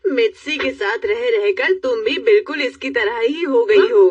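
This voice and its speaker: A woman's voice: on the high side, unhurried, dry recording. A woman playing a bossy, persuasive adult sister in a Hindi cartoon dub, with an insistent manner. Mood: scolding. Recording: dull, distant audio with background music.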